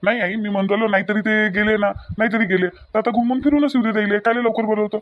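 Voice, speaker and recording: A man's voice: high voice, fairly even intonation, fast, no echo, lo-fi sound, lightly acted. A man playing an easygoing grown son in a family drama, pleading repetitively in a Varhadi Marathi accent. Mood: placating. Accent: Varhadi Marathi of Vidarbha. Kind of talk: amateur family comedy-drama dialogue.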